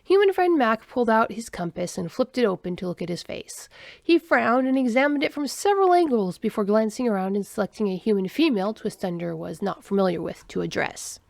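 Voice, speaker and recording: Animated woman narrator with creaky edges. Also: mid-range voice, fairly quick, no echo, clean studio sound. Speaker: storyteller, narrator. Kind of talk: scripted story narration.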